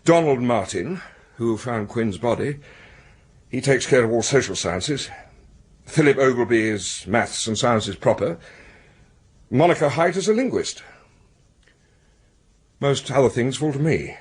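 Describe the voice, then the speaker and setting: An actor playing a proud, formal British academic administrator in a radio drama, precise and stuffy with some hesitation. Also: male voice, medium pitch, lively intonation, unhurried, long pauses, breathy edge. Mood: precise, explanatory. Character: proud, formal academic secretary of an exam board.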